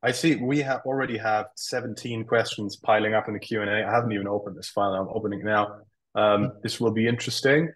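A man's voice: fairly low pitch, fairly even intonation, fast talker, fairly bright tone, low-quality recording. A man hosting and moderating a webinar, steadily asking questions and reading out audience questions.

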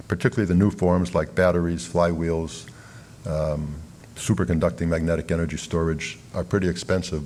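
Deep-voiced man giving a conference lecture. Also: a little mellow, slight background noise. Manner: measured, explanatory, frequent fillers and restarts. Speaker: keynote speaker. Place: large conference hall stage at a lectern.

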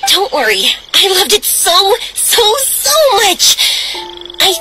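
Very high-pitched, cheerful young woman. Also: sing-song, takes it slowly, full character voice, low-quality recording. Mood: cheerful, grateful. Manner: enthusiastic, emphatic, sincere. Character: cheerful, warm-hearted, dreamy young woman. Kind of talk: video game voice acting. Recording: game music underneath. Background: music.

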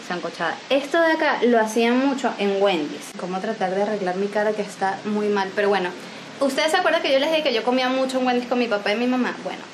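Lively Venezuelan woman in her twenties. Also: on the high side, roomy sound, a little hiss or hum, low-quality recording. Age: 20s. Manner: animated, joking, gestures a lot. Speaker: vlogger. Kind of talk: casual vlog chat. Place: small room at home, indoors.

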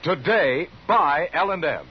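A man with an American accent delivering an emphatic 1950s radio advertising pitch as an announcer. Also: animated, a little slow, husky. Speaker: commercial announcer. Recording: dull 1950s radio recording.

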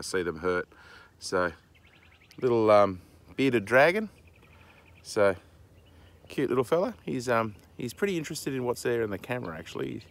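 An Australian man in his forties talks casually to his phone outdoors. His voice sits fairly low and has a strong gravelly creak. He speaks informally and haltingly, as a farm vlogger. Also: fairly bright tone, no room sound. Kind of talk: casual vlog narration. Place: outdoors on a dry rural farm. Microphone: handheld phone at arm's length.

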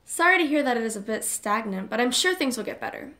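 Upbeat, kind high school girl played by a woman, higher voice, audio drama role. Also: expressive, quick pace, sharp tone. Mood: relaxed, supportive. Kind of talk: amateur audio drama acting.

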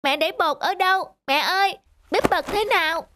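A woman's voice: very high-pitched, normal speed, noisy background. A woman voicing a polite, plaintive little boy in a cartoon, with a childlike manner. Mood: whining. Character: little boy, eager then weary and whining. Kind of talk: dubbed cartoon acting. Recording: music under the voice. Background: background music.

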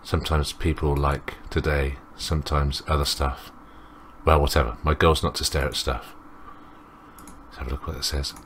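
A man's voice: extremely low pitch, little pitch movement, moderate pace, a bit bright, a little hiss or hum. A man reading a visual novel aloud as a let's play host, rambling and interrupting himself with commentary.

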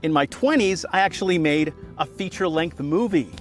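A man's voice: on the high side, animated, brisk. American man narrating over light music. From southern New Mexico, United States. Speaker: host and narrator of a regional TV series. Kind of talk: scripted narration for a TV feature.

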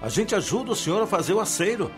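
An actor playing a concerned young Brazilian villager in a radio soap, polite and persuasive. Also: male voice, high voice, big pitch swings, fast talker, faint music behind. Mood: earnest, pleading. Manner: persuasive, respectful. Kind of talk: radio soap opera acting.

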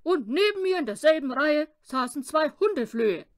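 A woman voicing a small, cheeky male talking poodle telling tales in a children's storybook, loud and playful. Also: high-pitched, lively intonation, moderate pace, dry recording, studio quality, voice pushed up. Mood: playful, chatty.

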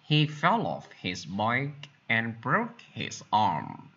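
A man with a Vietnamese accent teaching English, reading each sentence in Vietnamese and then repeating it slowly in English for learners to copy. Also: medium pitch, huge pitch swings, sharp tone, slightly rough, dull audio. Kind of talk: slow bilingual drill, repeating each sentence.

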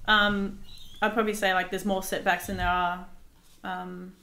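A woman's voice: medium pitch, level tone, normal speed, background noise. A woman, chatting casually in an interview and often hesitating as she searches for words. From Australia and Israel. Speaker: guest, visual artist. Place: living room in a home. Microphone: desktop microphone on table.

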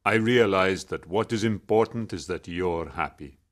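A man playing a tender, wry middle-aged father in an audiobook, speaking sincerely and haltingly. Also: low-pitched, lightly acted. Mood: tender, earnest. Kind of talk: dramatised audiobook dialogue.

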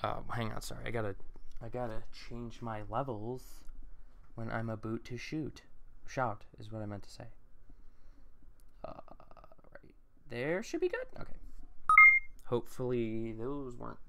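A man's voice: mid-range voice, unhurried, gravelly, pauses often. A man who acts as an amateur, talking casually and self-consciously between takes, often apologizing and rambling. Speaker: amateur voice actor.

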